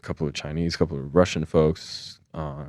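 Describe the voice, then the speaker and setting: A young man from Geneva, Switzerland, talking as a podcast guest in a relaxed, casual way, swearing freely and telling stories with energy. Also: sits low, normal speed, creaky, dry recording, studio quality. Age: young adult. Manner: laid-back, swears casually, animated retelling. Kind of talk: casual conversation.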